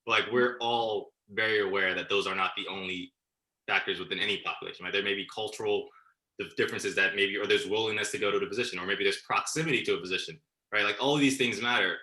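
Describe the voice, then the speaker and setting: A man on a video call presenting at a journal club, talking quickly and checking in with "right?" between his points. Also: on the low side, pushed and bright. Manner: fast, filler-laden, keeps asking "right?". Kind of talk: casual discussion on a video call. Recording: roomy video call audio.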